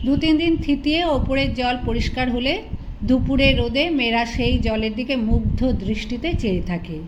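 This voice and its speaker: A woman reads a literary story aloud. She works as an audiobook narrator, telling the tale in prose. The recording carries clear noise behind her. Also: on the high side, moderate pace, muffled recording.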